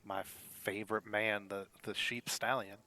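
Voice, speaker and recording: A man's voice: on the low side, flat delivery, normal speed, sharp tone, pitched below the performer's own voice. A man voicing an eager young male soldier who boasts about training.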